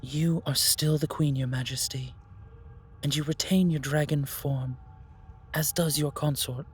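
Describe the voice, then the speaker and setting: A man's voice: a little high, fairly even intonation, a little hiss or hum, close to an everyday voice. Man as stately, wise keeper of magic. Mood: calm, wise. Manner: formal, stately, deliberate pronouncements. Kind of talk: fantasy audio roleplay acting.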